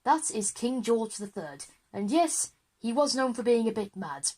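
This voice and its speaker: A woman's voice: fairly high pitch, animated, unhurried, darkish tone, husky. A woman voicing an eager, unflappable teacher with an explanatory manner, leading a time-travel lesson in a children's audio drama. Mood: brisk.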